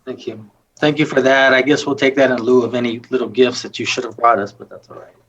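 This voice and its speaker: A man's voice: medium pitch, level tone, quick pace, a bit bright, highs cut off, slight background noise. A man chairing a council meeting who answers with dry joking remarks. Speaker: mayor.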